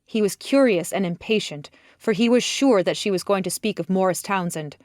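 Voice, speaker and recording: A woman's voice: mid-range voice, normal speed, a little mellow, no room sound, studio quality. A woman reading scripted literary narration as an audiobook narrator.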